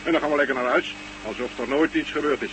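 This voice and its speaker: A man's voice: medium pitch, fast talker, natural voice. Man acting a hearty space commander, noisy old recording. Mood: folksy, stubborn. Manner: colloquial, musing, folksy. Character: hearty, easygoing Russian space station commander, dogged and plain-spoken. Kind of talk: Dutch science fiction radio play acting.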